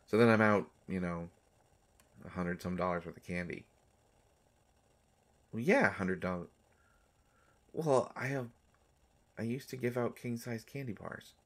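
A man plays a centuries-old male vampire doctor with a low-pitched voice. He is tender and a little mischievous, talking haltingly and teasingly to someone close. It is an intimate audio roleplay performance. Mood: fond, casual. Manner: halting, teasing, soft-hearted speech.

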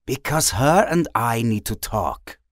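A man's voice: medium pitch, lively intonation, unhurried, distant mic, natural voice. A man playing an earnest, troubled young mutant hero in an audio drama. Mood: earnest, pleading.

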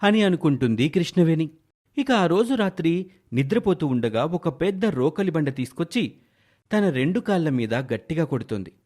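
A man's voice: on the high side, sing-song, normal speed, a little mellow. A man narrating a scripted moral story in a dramatic storytelling style.

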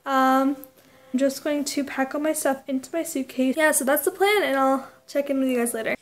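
A woman's voice: high voice, normal speed. A young woman chatting casually to a vlog camera in an informal, hesitant way with trailing phrases. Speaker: vlogger. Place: indoors, bedroom and hotel suite.

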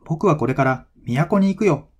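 A man's voice: on the high side, animated, normal speed, voice pushed up. A man voicing an eager, thumb-sized fifteen-year-old boy adventurer in a storybook tale, speaking earnestly. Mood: eager, determined.

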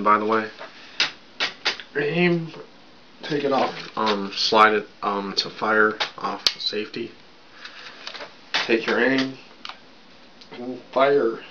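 Raspy man rambling slowly on webcam. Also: lowish voice. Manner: rambling, lots of fillers, drinking on camera. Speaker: vlogger. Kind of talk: casual show-and-tell vlog. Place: small bedroom indoors. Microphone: webcam at desk distance. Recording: dull lo-fi sound with background noise.

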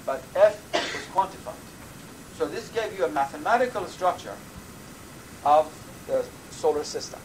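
Older man, Persian native, professor, measured lecture, pausing, background noise audible. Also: a little high, expressive, rough recording. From Iran. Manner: measured, thoughtful lecturing with frequent "uh" pauses. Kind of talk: university lecture.

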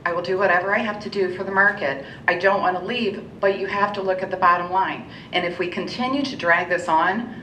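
A woman's voice: fairly even intonation, fairly quick, bright, forceful tone. A middle-aged American woman giving a public comment speech as a local business owner in a meeting room. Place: indoor council meeting room. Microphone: gooseneck podium microphone. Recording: roomy, distant mic, low rumble and noise.

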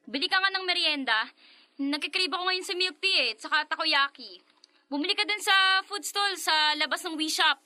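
Very high-voiced, assertive young sister. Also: female voice, normal speed, bright, forceful tone, exaggerated voice. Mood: bossy, casual. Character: assertive young adult elder sister, breadwinner fond of cats. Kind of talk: Filipino radio drama acting.

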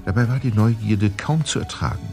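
Male narrator for a mystery radio play: low voice, scripted storytelling, faint music underneath. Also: very animated, a little fast, vocal fry, darkish tone. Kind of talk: scripted narration of a detective audio drama.

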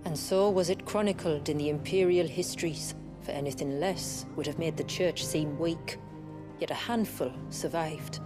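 An actress playing a grave village woman who recounts her people's tragic past in a measured, formal, earnest way for a video game. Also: sits low, music in the background, lightly acted. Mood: solemn. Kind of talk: solemn scripted storytelling in video game drama.

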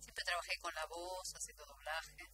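A woman's voice: on the low side, little pitch movement, normal speed, bright, forceful tone, slight background noise. A woman with a Buenos Aires Rioplatense accent, chatting as a guest in a casual radio interview, hesitating and circling back over phrases. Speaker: guest, voice actress and announcer. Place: small radio studio. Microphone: studio microphone.

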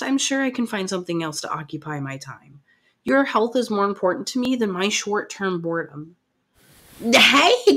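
A woman playing a caring, gently bossy partner in an ASMR roleplay, coaxing and affectionate but firm with the listener. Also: on the high side, heavily acted. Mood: soothing, coaxing.

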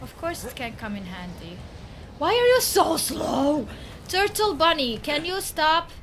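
A young woman from Bosnia and Herzegovina streaming a game live, excitable, with a voice partly lost, on a headset at home. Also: high-pitched, measured pace, slight background noise. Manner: excitable, shouts and laughs at the action.

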